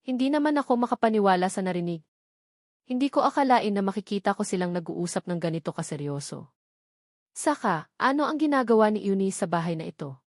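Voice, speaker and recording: A woman's voice: medium pitch, animated, brisk, dry recording, studio quality. A woman reading a first-person romance novel as a scripted audiobook narrator.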